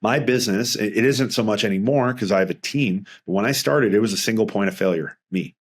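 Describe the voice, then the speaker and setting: Earnest middle-aged man, lowish voice. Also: a little fast, slightly rough. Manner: earnest, rambling with many restarts. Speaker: financial advisor guest. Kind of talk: casual interview talk.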